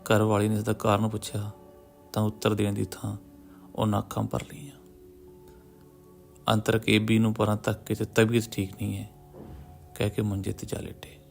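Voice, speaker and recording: A man narrates a literary story in a measured, solemn way, pausing between short phrases. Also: lowish voice, completely flat delivery, creaky. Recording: music behind the voice. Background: background music.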